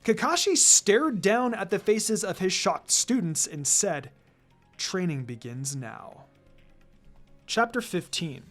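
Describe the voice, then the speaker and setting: A narrator reading fan fiction aloud in an expressive, dramatic and emphatic storytelling style. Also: medium pitch. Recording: music under the voice.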